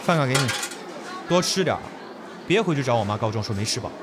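An actor playing a cool, terse teenage schoolboy in an audio drama, talking in a curt, casual way. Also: male voice, mid-range voice, lively intonation, quick pace, background noise, close to an everyday voice.

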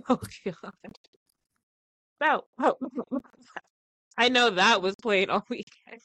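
A woman's voice: fairly high pitch, slow pace, bright, forceful tone, no echo, poor audio. A young woman with a stuffy, congested voice from the flu, co-hosting a casual podcast, laughing often and talking loosely. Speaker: podcast co-host.

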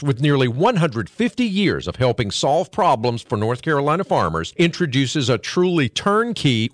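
An American man reads a scripted radio commercial. His intonation is lively and moves around a middle pitch. The sound is dry and close. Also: no room sound. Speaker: radio ad announcer.

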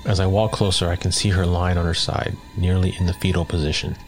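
A man reading a first-person scary story as a scripted narration, in a measured, matter-of-fact way. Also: low-pitched, flat delivery. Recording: clear background noise.

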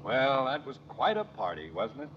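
A man's voice: mid-range voice, wildly swooping pitch, normal speed. An actor playing a cheerful, folksy middle-aged American father in an old radio sitcom, chatting in a genial, hesitant way. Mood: cheerful, tired. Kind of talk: 1940s-50s radio sitcom acting. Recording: muffled old radio broadcast, noisy.

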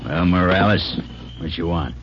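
An actor playing a mean, hot-tempered West Texas cotton farmer with a gruff, domineering rural manner in a 1950s radio drama. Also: male voice, sits low, moderate pace, dull audio, natural voice. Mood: menacing. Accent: Texan. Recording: noisy, band-limited old radio recording.

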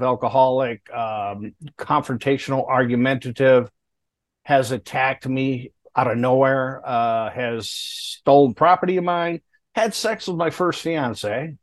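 A man's voice: mid-range voice, dry recording. A man in his late fifties, a guest talking candidly and plainly in a casual interview. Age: 50s. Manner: candid, plainspoken, laughs between hard stories.

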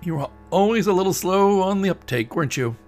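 A man's voice: high-pitched, animated, fairly quick, darkish tone, faint music behind, voice pushed up. A man voicing a mysterious, mirthless male visitor who scolds and taunts impatiently, in a narrated story. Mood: taunting.

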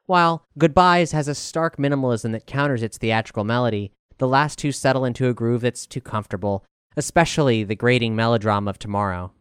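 A man's voice: mid-range voice, fairly quick, fairly bright tone, clear, resonant tone, no echo, studio quality. A man reading a scripted album review as narration.

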